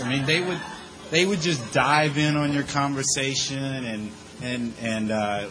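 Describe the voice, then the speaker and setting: Low-voiced man telling a funny story. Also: noisy background. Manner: animated, conversational storyteller with quick asides. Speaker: speaker sharing his recovery story. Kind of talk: humorous personal storytelling to a group.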